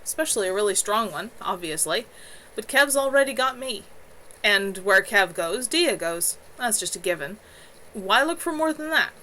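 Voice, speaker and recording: A woman's voice: medium pitch, expressive, moderate pace, bright, forceful tone, a little hiss or hum, poor audio. Woman reading as a brash young werewolf. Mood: blunt.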